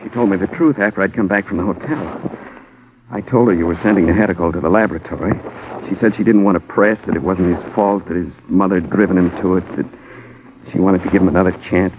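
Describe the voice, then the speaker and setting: Noisy vintage radio, male actor as grieving personal physician, low pitch, grave, subdued. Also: fairly even intonation, fast talker, phone-quality sound, close to an everyday voice. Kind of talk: 1950s radio drama acting.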